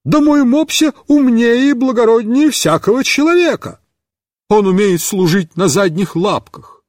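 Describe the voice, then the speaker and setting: A man voicing a fussy, indignant middle-aged woman neighbor with a shrill, squealing voice and drawn-out, outraged exclaiming. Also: animated, darkish tone, no room sound, studio quality. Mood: shrill outrage.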